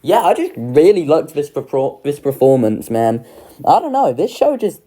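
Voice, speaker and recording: A young adult reviewer talks through a ranking in a casual, informal way. The voice is mid-pitched with a lively, swinging intonation, enthusiastic and sweary. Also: moderate pace. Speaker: video creator ranking children's pantomimes.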